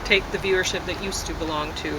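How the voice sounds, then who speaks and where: A woman in casual, unscripted chat, speaking hesitantly and meandering with pauses. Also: on the low side, steady tone. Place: outdoors on a deck. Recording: loud outdoor ambience and traffic noise.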